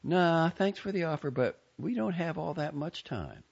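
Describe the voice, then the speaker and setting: A man voicing a sharp-tongued young woman who is leaving her hometown, speaking in a dry, brisk way. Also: a little high, expressive, creaky, breathy edge, lo-fi sound, pitched above the performer's own voice, full character voice.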